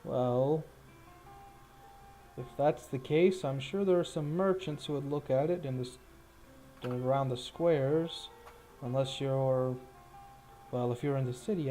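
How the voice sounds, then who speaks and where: A man playing an unhurried town official in a tabletop game, speaking in a hesitant, deliberate way. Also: mid-range voice, dark tone, faint music behind, natural voice. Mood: pondering. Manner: slow, deliberate, pondering.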